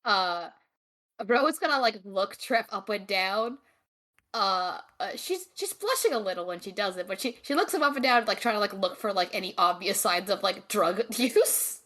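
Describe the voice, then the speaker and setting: A woman improvising in a tabletop role-playing game, talking in a halting, stammering way. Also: medium pitch, expressive, a bit bright. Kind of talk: improvised character acting and casual play.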